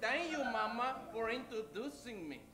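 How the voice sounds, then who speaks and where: A woman's voice: a little high, sing-song, moderate pace, a bit bright. A woman playing a dutiful young boy, a bandit's eager little sidekick, in an old-time radio comedy western. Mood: eager, obedient.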